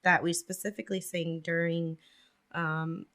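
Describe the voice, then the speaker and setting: A woman's voice: on the low side, flat delivery, a little slow, a bit bright. A young woman hosting a casual chat about church practice, asking questions and laughing often. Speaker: podcast host. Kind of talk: casual religious discussion.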